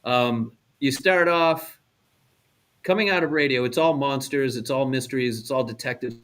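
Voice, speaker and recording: A man's voice: mid-range voice, normal speed, fairly bright tone. An American man, middle-aged or older, co-hosting a radio show with casual, explanatory chat and frequent check-ins with the listener. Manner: conversational, checks in with "right?".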